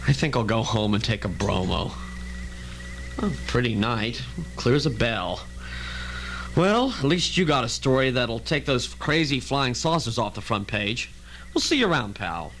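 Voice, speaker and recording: A man's voice: mid-range voice, expressive, measured pace, over music, rough recording. A man playing a world-weary, sceptical investigator in an old-fashioned radio drama, brusque, wry and casual.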